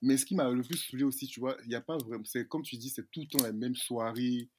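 A man in his twenties from Ivory Coast, a podcast guest chatting casually in a slangy, animated way, often laughing. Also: mid-range voice, quick pace, slight background noise. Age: 20s. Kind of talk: casual chat.

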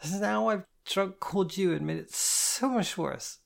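Woman narrator doing drunk young woman, crying, slurred, slow, higher voice, a little gravelly. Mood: miserable.

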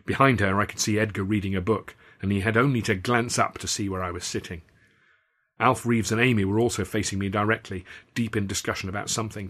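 Man, audiobook narration, lower-pitched voice, wry literate storytelling. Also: a bit bright. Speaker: author reading own novel. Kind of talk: audiobook narration with acted dialogue.

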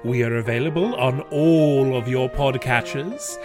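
Man, podcast announcer, scripted promo read, medium pitch, clear music bed. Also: unhurried.

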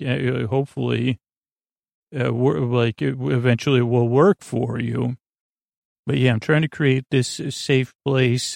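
Male sleep podcast host, gravelly and lulling, wandering off topic, very dry close sound. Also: mid-range voice, darkish tone, no echo. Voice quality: creaky. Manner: meandering, hesitant, full of tangents and filler words; soothing, lulling delivery. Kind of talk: rambling bedtime sleep podcast monologue.

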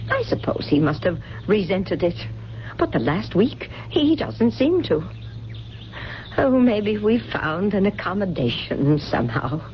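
An actress playing a genteel, wistful middle-aged mother with a bad back in a 1970s radio drama, speaking in halting, exclaiming phrases. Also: medium pitch, big pitch swings. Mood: wistful, cheerful. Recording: muffled, noisy old radio broadcast.

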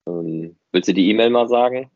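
Male podcast host, deep-leaning voice, casual conversational delivery, muffled lo-fi sound. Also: brisk, no room sound. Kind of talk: casual unscripted podcast chat. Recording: muffled, dry, band-limited audio.

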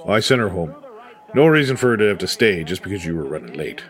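Independent middle-aged dad for audio drama; folksy, proud, animated delivery. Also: male voice, sits low, quick pace. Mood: defensive, irritated. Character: caring, stubborn, independent father of a grown daughter. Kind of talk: naturalistic audio drama acting.

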